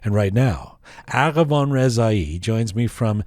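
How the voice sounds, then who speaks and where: A man's voice: fairly low pitch, expressive, a little slow, darkish tone, no room sound, studio quality. A man hosting a podcast, reading a scripted introduction and then asking playful, teasing follow-up questions. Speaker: podcast host.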